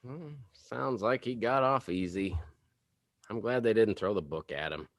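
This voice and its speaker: A man's voice: fairly low pitch, unhurried, dry recording, clean studio sound. An actor playing a petty, self-pitying suburban father, whiny and sarcastic, in a comedy table read. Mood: resigned, dry.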